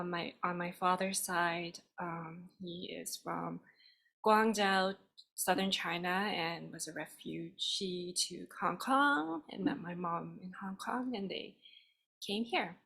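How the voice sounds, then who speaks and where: A woman calmly introducing her family background on a call, measured, with fillers. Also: lowish voice, creaky. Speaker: participant in a video call. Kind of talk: casual self-introduction.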